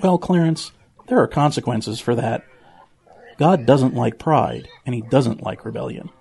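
A man's voice: medium pitch, expressive, measured pace, creaky, a little mellow. A man playing an earnest, preachy pig with an admonishing manner, in a children's audio drama. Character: earnest, preachy male pig with a fussy nervous streak.

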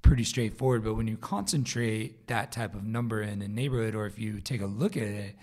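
A man with a raspy, hoarse voice hosting a solo podcast episode, talking casually with fillers and restarts. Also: on the low side, fairly quick, darkish tone. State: hoarse from a vocal cord growth. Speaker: podcast host.

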